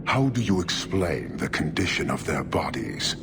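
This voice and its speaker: Inhuman giant warlord, rock-bottom pitch, contemptuous, imperious, gravelly, fantasy game. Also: male voice. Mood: cold contempt. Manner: measured, imperious, deadpan. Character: huge stern Qunari warlord, proud and contemptuous. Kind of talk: dramatic video game cutscene acting. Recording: noisy game ambience behind.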